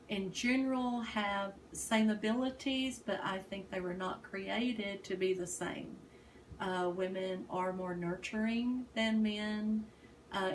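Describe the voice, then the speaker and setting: A woman from Texas, answering interview questions in a measured, thoughtful way. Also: medium pitch. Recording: distant mic, faint noise.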